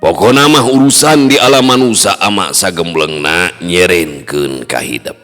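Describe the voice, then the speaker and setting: A man voicing a wise, authoritative old jinn king who gives slow, solemn fatherly counsel in a Sundanese tale. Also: low voice, fairly bright tone. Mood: trusting, earnest. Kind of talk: Sundanese storytelling character voice. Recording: long hall reverb, music underneath.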